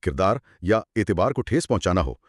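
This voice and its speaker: Male co-host, lowish voice, very varied intonation, explanatory, conversational legal lesson, dry close sound. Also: big pitch swings, normal speed, dry recording.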